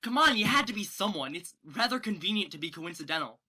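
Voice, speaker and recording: A woman's voice: mid-range voice, animated, quick pace, bright, forceful tone, husky. A young woman playing an anxious, earnest teenage best friend in a student stage play, flustered and stammering. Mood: distressed. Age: young adult. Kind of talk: student one-act stage acting.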